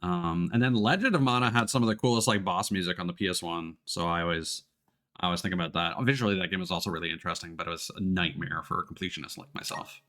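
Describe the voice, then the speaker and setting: A man's voice: deep voice, quick pace, sharp tone. A man giving casual gaming commentary, thinking aloud and sometimes reading on-screen text. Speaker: streamer. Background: game music.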